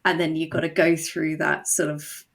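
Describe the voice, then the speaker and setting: A woman guest, a writer and voice actor, chatting casually in an interview in an animated, self-deprecating way. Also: sits low, normal speed, gravelly.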